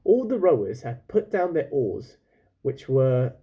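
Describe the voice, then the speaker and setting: A man's voice: mid-range voice, big pitch swings, measured pace, dark tone, breathy edge. A man reading a children's story aloud like a teacher, breaking off for casual questions and comments to his listeners.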